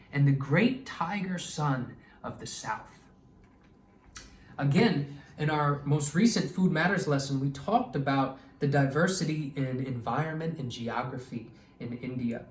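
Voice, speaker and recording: A man reading a children's story aloud expressively and pausing to explain things to young listeners. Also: a little high. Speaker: children's storytime reader and educator. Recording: echoey room, distant microphone.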